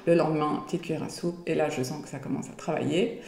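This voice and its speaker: A woman's voice: low-pitched, steady tone, fast, gravelly, darkish tone, roomy sound. A woman in her forties chatting in an interview, hesitating often as she talks. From Belgium. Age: 40s. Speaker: guest. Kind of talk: casual interview chat.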